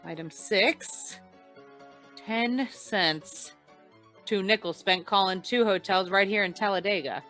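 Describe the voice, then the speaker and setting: A woman playing a wry, shrewd hard-boiled insurance investigator in deadpan 1940s radio noir style. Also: mid-range voice, takes it slowly, faint music behind. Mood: deadpan narrating.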